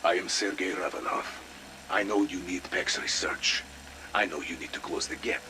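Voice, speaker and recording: Deep, ominous informant played by an actor. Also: male voice, noisy background, close to an everyday voice. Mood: grave, insistent. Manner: terse, deliberate. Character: mysterious, ominous Russian male informant, cool and knowing. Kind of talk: video game audio log acting.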